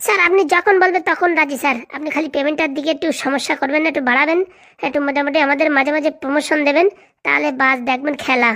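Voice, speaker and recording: A woman's voice: high voice, fairly even intonation, rapid-fire, a bit bright. A woman voicing an eager, fawning young male henchman in a comedy cartoon, chattering boastfully with a rural Bengali accent.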